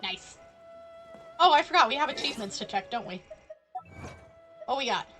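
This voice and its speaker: A woman's voice: fairly high pitch, animated, normal speed, a bit bright. A woman streaming a game live, casually answering the characters in a playful, emphatic way. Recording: game music behind.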